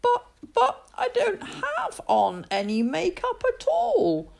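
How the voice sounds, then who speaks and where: Brief: spirited young girl, put on by a woman, very high and bouncy, from a storybook. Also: slow, creaky, exaggerated voice. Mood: excited. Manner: high, excited, exclamatory. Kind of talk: storybook reading.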